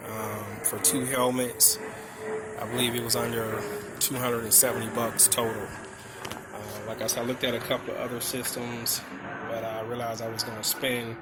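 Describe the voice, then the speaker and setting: A man's voice: mid-range voice, heavy background noise. A man giving a casual, unscripted hands-on product walkthrough in a plain-spoken, explanatory way. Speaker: vlogger showing a motorcycle radio install.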